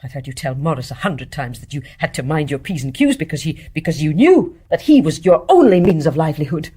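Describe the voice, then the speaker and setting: Embittered nurse in radio drama: low-pitched, husky, intense, accusing and anguished. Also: female voice, expressive, fairly quick, dark tone. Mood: bitter accusation. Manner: fervent, scornful, emphatic delivery. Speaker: actor in a radio play. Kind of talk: intense stage-play dramatic acting.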